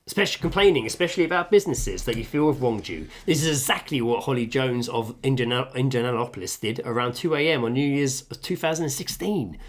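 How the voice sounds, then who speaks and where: A man's voice: mid-range voice, animated, quick pace, few pauses. Man, podcast co-host, casual chat, reading aloud, dry sweary asides, home kitchen.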